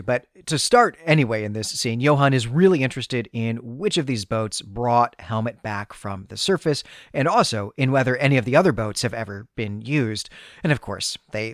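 A man hosts a podcast, talking through a story recap in a conversational way. His voice is mid-pitched and recorded close and dry, with no room sound. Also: no echo. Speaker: podcast host.

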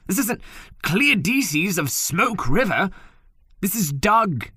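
A fussy, practical co-narrator in an audio comedy, close and dry. The delivery is slow and hesitant, with lively pitch movement. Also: slightly rough, no room sound, heavily acted. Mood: exasperated. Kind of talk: scripted banter in an audio comedy.

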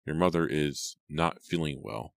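Storybook part: male reader as headstrong father, very deep voice, curt and commanding, close dry sound. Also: steady tone, a little slow, dry recording. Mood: impatient, sharp. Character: impatient, stubborn, stern father of grown daughters.

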